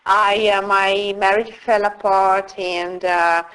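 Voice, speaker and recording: A middle-aged woman from Italy, telling her story as an interview guest in a slow, reflective way with frequent pauses. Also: medium pitch, little pitch movement, a bit bright, clean tone, rough recording. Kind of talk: casual interview.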